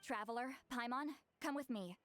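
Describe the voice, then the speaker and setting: A confident young shaman woman from a video game, voiced by an actress. Her voice sits a little high, and she talks in a matter-of-fact, slightly haughty way over soft music. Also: moderate pace, close to an everyday voice. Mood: assured, brisk.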